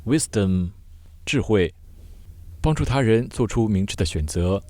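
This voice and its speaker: A man teaching vocabulary word by word in measured, short separated phrases, as a scripted lesson. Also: lowish voice, expressive, darkish tone, dry recording.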